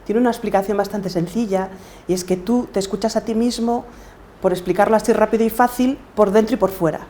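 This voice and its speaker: A middle-aged woman with a Galician accent answers as an interview guest. Her voice is soft-edged and mellow at a medium pitch. She speaks reflectively, over faint background noise. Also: steady tone, a little fast. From Galicia, Spain. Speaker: podcaster and coach, interview guest.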